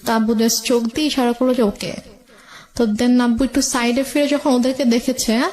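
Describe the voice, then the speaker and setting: A woman's voice: fairly high pitch, flat delivery, moderate pace, a little mellow. A woman with a Bangladeshi accent, a guest recounting her own life story in conversation.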